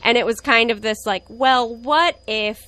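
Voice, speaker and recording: A woman's voice: a little high, a little slow, no echo. Candid woman in her twenties. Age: 20s. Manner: reflective, candid, soft laughs. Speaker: guest. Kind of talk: casual personal conversation.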